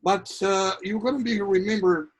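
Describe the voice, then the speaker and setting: A man from Ceará, Brazil, with a Brazilian accent, hosting an online talk show and giving a formal welcome. He talks haltingly, searching for words, with many pauses. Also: sits high, steady tone. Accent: Brazilian Portuguese, from Ceará. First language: Portuguese. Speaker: host.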